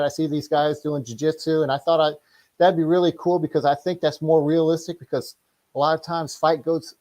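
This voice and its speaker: A middle-aged man speaking casually as an interview guest and martial arts instructor, rambling and halting as he explains things. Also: on the high side, darkish tone.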